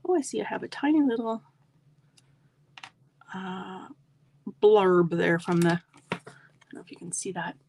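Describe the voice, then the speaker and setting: A woman's voice: medium pitch, lively intonation, a little slow, vocal fry, pauses often, a little mellow. A middle-aged woman demonstrating card making in a live tutorial, chatty and friendly, with a slightly froggy voice. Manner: chatty, friendly asides to viewers.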